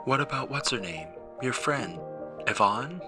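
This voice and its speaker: A man voicing a gruff, blustering suburban father in a storybook reading. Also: medium pitch, expressive, measured pace, over music, pitched above the performer's own voice, natural voice. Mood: suggesting.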